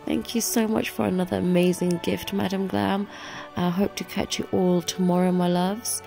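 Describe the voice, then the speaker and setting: Young woman w a cold, stuffy nasal voice, low and kinda croaky, super gushy, soft music under it. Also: level tone, moderate pace. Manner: gushing, enthusiastic. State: nasal and sore-throated from a cold. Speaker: nail vlogger. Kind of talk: voiceover for a product unboxing.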